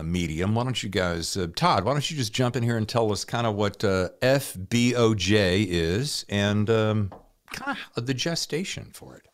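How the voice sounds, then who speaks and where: A middle-aged American man hosting a podcast in an upbeat, presenter-like style. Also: lowish voice. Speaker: podcast host and thriller author. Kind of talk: upbeat scripted podcast narration and interview hosting.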